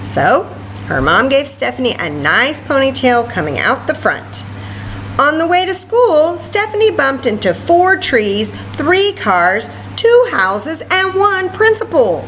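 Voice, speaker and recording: A woman reading a story aloud to children in an expressive way, with chatty asides and questions. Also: sits high, slow pace, a little hiss or hum, poor audio. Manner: expressive, asks listeners questions. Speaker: library story time reader.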